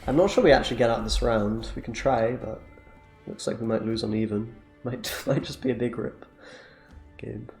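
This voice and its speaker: Young man, streamer, casual gameplay chat, jokey, gravelly fry, faint game music behind. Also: medium pitch, moderate pace, a little mellow. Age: young adult. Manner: chatty, jokey, reacts aloud to plays. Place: small room indoors.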